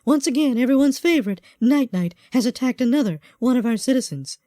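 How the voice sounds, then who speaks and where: Lady doing news anchor, high mellow voice, composed, spoof newscast, very dry sound. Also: animated, a little fast, dry recording, close to an everyday voice. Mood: matter-of-fact. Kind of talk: parody news reading.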